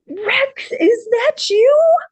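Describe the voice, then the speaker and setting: A woman playing a warm, earnest young businesswoman in a script table read. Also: extremely high pitch, expressive, extremely slow, no room sound, full character voice. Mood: delighted.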